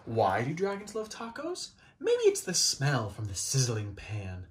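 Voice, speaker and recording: Male storybook reader, thirties, hugely varied pitch, playful and theatrical delivery for young children. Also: wildly swooping pitch, measured pace, slight background noise. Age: 30s. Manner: theatrical, exaggerated, playful delivery with swoops and dramatic gasps. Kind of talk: animated children's picture book read-aloud. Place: small child's room indoors.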